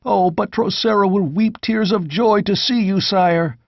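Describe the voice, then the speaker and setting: A fervent, devoted knight, as a male narrator acts him out in a fantasy audiobook. His voice is lifted high and exclamatory, ringing with devotion to his king. The recording is close and slightly dull. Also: measured pace, vocal fry, dry recording, exaggerated voice. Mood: joyful. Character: excited young knights hailing their lost king, loud, fervent.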